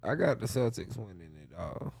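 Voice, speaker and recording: A man's voice: lowish voice, big pitch swings, moderate pace, gravelly, darkish tone, husky. A man co-hosting a podcast, rambling and profane in casual sports banter. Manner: rambling, stumbling restarts, profane. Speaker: podcast co-host.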